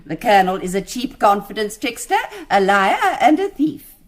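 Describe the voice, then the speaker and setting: An actress with a British accent playing a prim, sharp elderly landlady in a radio drama, speaking in a crisp, formal, cutting way. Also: medium pitch, very animated, normal speed, close to an everyday voice. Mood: accusing. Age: older.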